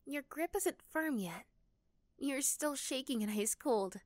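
A woman voicing a sweet, caring, shy young neighbour girl in an ASMR roleplay, gentle and hesitant. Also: sits high, animated, slow, no room sound, clean studio sound, pitched above the performer's own voice.

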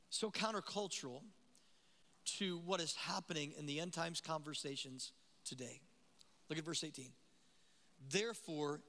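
A middle-aged man preaching a sermon as pastor on a large church stage, impassioned, slowing and dropping low when he reads scripture. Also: bright, forceful tone. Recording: live church hall reverb.

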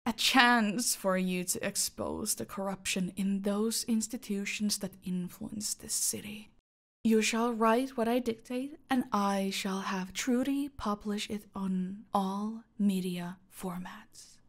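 Woman playing a slow, creaky-voiced female crime boss. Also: medium pitch. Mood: commanding, scheming. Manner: deliberate, haughty, breathy drops into near-whispers. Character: female cartel boss, menacing, smug, calculating seductive villain. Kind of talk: dramatic audio roleplay monologue addressed to a captive.

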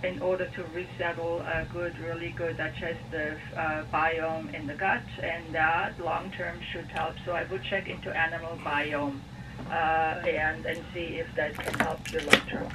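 Even-toned woman advising, distant and noisy. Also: sits low, moderate pace. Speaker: dog expert guest on a phone-in. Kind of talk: informal expert advice. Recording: distant, noisy radio playback.

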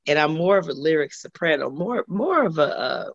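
Husky middle-aged woman on a lo-fi call. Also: deep voice, animated, normal speed, dry recording. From Los Angeles, USA. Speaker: guest singer. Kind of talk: interview on a video call. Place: home room indoors. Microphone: video call. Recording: dull lo-fi video call audio.

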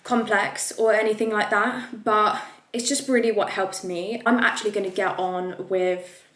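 A woman's voice: medium pitch, fairly even intonation, normal speed, a bit bright, echoey room. A young woman vlogger who talks to camera from her home desk and explains how she plans coding projects step by step, in a practical, casual way. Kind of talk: casual tutorial talk to camera.

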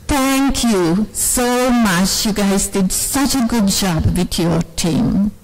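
A woman's voice: mid-range voice, animated, slow pace, darkish tone. An older woman from Serbia gives an earnest speech to a women's conference in a large hall. She speaks into a microphone on a stand at a lectern and tells her story in non-native English.

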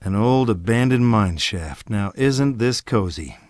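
Cocky, swooping deadpan action hero. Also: male voice, on the low side, unhurried, dry recording, clean studio sound. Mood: wry. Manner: deadpan, sardonic, swaggering. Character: cocky sarcastic tough horror action hero. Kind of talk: video game cutscene acting.

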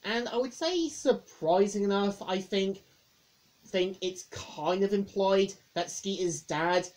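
A woman reviewing a film in a casual monologue, speaking haltingly and often repeating words. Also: mid-range voice, a little mellow, low-quality recording.

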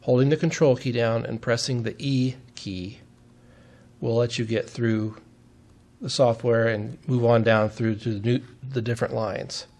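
A man's voice: medium pitch, fairly even intonation, unhurried, darkish tone. A man giving a step-by-step technical demonstration in a methodical, plain-spoken way, as an instructor would.

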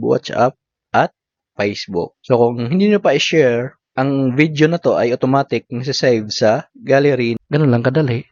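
A man's voice: mid-range voice, a little mellow, dry recording. A man with a Filipino accent gives step-by-step app tutorial narration in short, instructional phrases. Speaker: tutorial host. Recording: slightly dull lo-fi voice recording.

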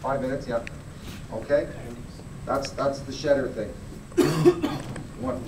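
A man's voice: mid-range voice, slow, background noise. A middle-aged man giving a plain-spoken live grading demonstration to an audience in a large indoor hall, often asking them to look closer. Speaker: fur grader. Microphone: far camera. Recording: echoey hall, camera from far off.

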